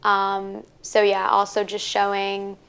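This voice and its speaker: A woman's voice: mid-range voice, monotone, slow pace, fairly bright tone, smooth. A young woman podcaster answering interview questions in a chatty, casual way, full of "like" and "um". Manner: chatty, lots of "like" and "um" fillers. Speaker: podcaster and workshop guest.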